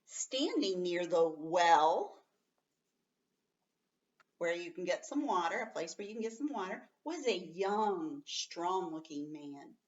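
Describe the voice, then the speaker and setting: A woman teaching young children, reading aloud expressively and explaining simply and patiently, slowing right down to stress words. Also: on the low side, distant mic, lo-fi sound. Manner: expressive, emphatic, slows right down on story words.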